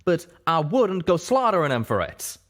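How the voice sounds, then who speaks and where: A man acts out a hot-headed young pegasus cowboy in a storybook tale. The voice is raised high and loud above normal narration. The talk is folksy and insistent. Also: big pitch swings, normal speed. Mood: indignant, loud.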